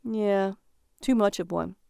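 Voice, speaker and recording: A woman's voice: medium pitch, takes it slowly, creaky, mellow, clear, resonant tone, no echo, studio quality. A woman voicing a childishly enthusiastic, easily hurt husband with a boyish, whiny manner in a story reading. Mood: resigned.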